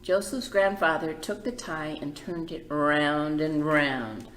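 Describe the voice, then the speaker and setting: A woman reading a picture book aloud to children as a library storyteller, expressive and emphatic, with chatty asides. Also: low voice, slow pace, echoey room, soft background music, rough recording.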